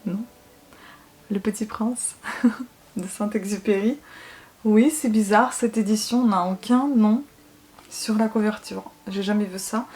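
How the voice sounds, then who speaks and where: A woman's voice: medium pitch, dark tone. A young woman from Ukraine whose first language is Russian chats enthusiastically to her viewers while showing off her books at home. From Ukraine, former USSR. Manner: chatty and enthusiastic, addressing viewers directly. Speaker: book vlogger. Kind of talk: casual show-and-tell to viewers.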